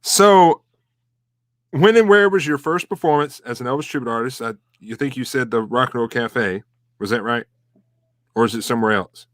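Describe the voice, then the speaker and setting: A man's voice: medium pitch, normal speed, fairly bright tone, dry recording. Man, interview host, friendly encouraging questions, dry close sound. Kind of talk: casual interview chat.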